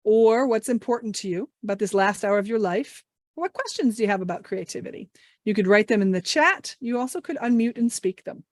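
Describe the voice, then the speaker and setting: A woman's voice: fairly high pitch, lively intonation, a little fast, dry recording, studio quality. Upbeat woman on a video call. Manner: upbeat, encouraging, asks many questions. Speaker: English language trainer. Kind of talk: online workshop talk. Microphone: video call mic.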